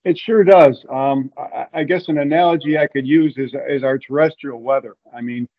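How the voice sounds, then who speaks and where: A man's voice: mid-range voice, fairly even intonation, normal speed, muffled recording. A man from Ohio, a guest in an interview, explaining at length with frequent restarts. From Ohio, USA.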